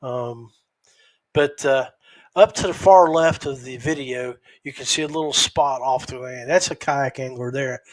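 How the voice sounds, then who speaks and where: A man hosting a podcast, giving a casual, unscripted recap in a halting, folksy, conversational way. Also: a little high. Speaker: podcast host.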